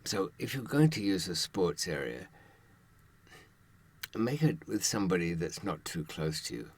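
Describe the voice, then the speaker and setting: A man's voice: deep voice, very animated, a little slow, husky. An older man giving a conversational advisory monologue to his audience, wry and dryly sardonic, with rhetorical asides. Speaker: true-crime advice presenter and author.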